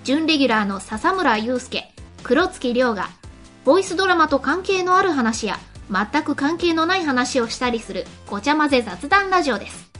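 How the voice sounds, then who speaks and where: A woman's voice: sits high, animated, normal speed, fairly bright tone, soft background music. A woman hosting a casual internet radio show, switching between chat and scripted announcements.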